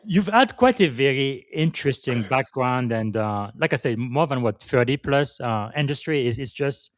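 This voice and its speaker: A man's voice: mid-range voice, lively intonation, normal speed, dry recording. Male podcast host from Congo, dry sound. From Congo, Central Africa. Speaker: podcast host interviewing a guest. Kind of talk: conversational live interview.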